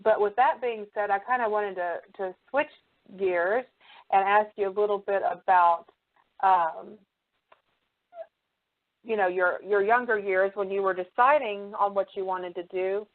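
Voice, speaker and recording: A woman's voice: mid-range voice, steady tone, unhurried, phone-quality sound. A woman hosting a casual interview, talking in a loose, hesitant, conversational way. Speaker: show host, interviewer.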